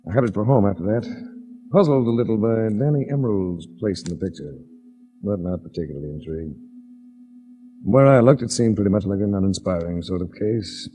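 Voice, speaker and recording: An actor playing a cool, wry insurance investigator in a 1940s radio drama, with dry, understated, clipped delivery. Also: male voice, deep voice, dark tone, rough recording. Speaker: lead actor and narrator. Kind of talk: 1940s radio detective acting.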